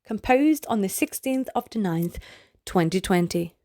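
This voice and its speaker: Slow-reading woman narrating a children's book. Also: medium pitch, animated, dark tone, no room sound. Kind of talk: slow read-aloud of short storybook pieces.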